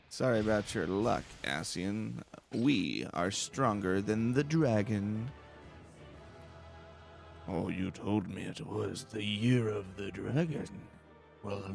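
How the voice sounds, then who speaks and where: A man's voice: fairly low pitch, takes it slowly, vocal fry, long pauses. A man giving casual, laid-back, slangy commentary over a video game. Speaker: let's play streamer. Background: video game music.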